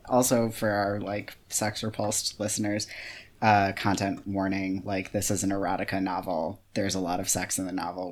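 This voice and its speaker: A podcast co-host chatting casually and frankly, in an informal way peppered with filler words like "like". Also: sits low. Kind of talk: casual chat.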